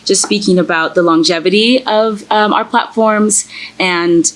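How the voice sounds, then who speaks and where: A woman's voice: medium pitch, measured pace, poor audio. A woman speaking as a panelist at a conference session. She answers hesitantly, with many ums and restarts. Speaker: panelist, archive founder.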